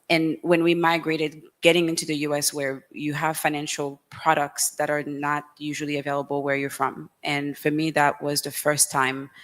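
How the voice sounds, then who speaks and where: A woman with a low, deep voice talks into a handheld microphone on a conference stage. She explains things steadily and carefully, with frequent ums. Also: fairly bright tone. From Haiti. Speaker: fintech founder and CEO. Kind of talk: panel conversation on stage.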